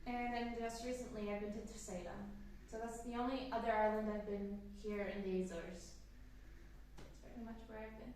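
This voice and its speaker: A young woman in her twenties, from Canada, chats in a casual sit-down Q&A, upbeat and rambling with plenty of fillers. Her voice sits a touch high. She sounds set back from the mic in a very echoey room with a faint hiss behind her. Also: little pitch movement, normal speed, darkish tone, far from the mic. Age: 20s. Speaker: channel host.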